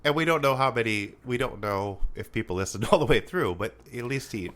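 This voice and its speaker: A man's voice: medium pitch, animated, brisk, a bit bright. A man co-hosting a casual podcast, reading listener comments with a joking manner, laughing often, with a slightly nasal voice. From Rapid City, South Dakota. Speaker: podcast co-host. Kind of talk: casual chat.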